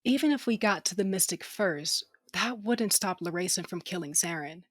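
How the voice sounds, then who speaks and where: A tough female starship captain, played by an actress, with a slightly low voice in a dry, close recording. She is salty and commanding, yet protective and fond of her people, as she tells her own story in a space audio drama. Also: normal speed, no room sound, natural voice. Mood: reflective, intimate. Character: tough, wounded female ship captain, protective aunt, affectionate. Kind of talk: first-person audio drama narration.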